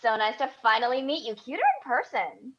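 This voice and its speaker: A woman's voice: on the high side, big pitch swings, brisk. A woman acting a brash, pushy young tech entrepreneur with a bossy, gushing manner in a comedy table read, on a lo-fi microphone. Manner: loud-mouthed, bossy, gushing. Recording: dull, lo-fi remote microphone.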